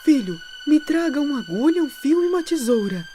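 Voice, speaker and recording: A woman's voice: sits high, very animated, a little fast, dark tone, faint music behind, pitched above the performer's own voice. A woman voicing an anxious, devoted mother goat in a children's storybook, motherly and exclamatory. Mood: urgent.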